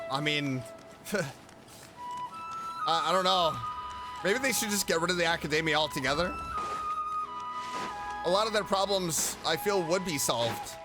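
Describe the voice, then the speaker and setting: A man giving casual commentary as he plays through a game. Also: high voice. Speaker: streamer. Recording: clear game music behind. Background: game music.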